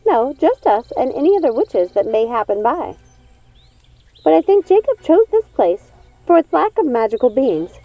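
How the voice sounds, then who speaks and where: Lady doing a high swoopy witch sister, fussy and coaxing, storybook style, super dry recording. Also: very animated, moderate pace, dry recording, lo-fi sound, faint music behind, heavily acted. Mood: matter-of-fact. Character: odd witch sisters, centuries old yet young-looking, fussy, reassuring.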